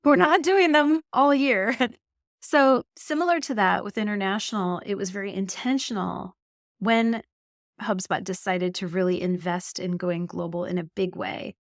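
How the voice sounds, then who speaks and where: A middle-aged woman from Canada, a marketing executive telling stories in a podcast interview, animated and laughing often. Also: medium pitch, moderate pace, no echo, clean studio sound.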